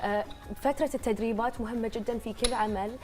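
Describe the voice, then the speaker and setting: A woman's voice: fairly high pitch, fairly even intonation, quick pace, music in the background. A woman, an actress in an interview, explaining earnestly. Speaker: actress guest. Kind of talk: casual interview talk.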